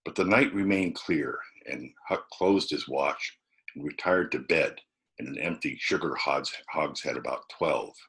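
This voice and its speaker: A man's voice: very deep voice, a little slow, fairly bright tone. A man reading a classic novel aloud as a storybook narrator. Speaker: volunteer storybook reader.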